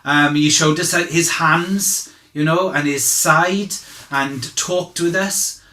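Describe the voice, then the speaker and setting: Man acting as halting, bright-voiced earnest disciple, echoey. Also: fairly high pitch, steady tone. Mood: earnest, sincere. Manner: halting, hesitant, searching for words. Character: earnest male disciple testifying as eyewitness at inquest. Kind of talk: dramatized courtroom testimony, conversational. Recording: echoey room.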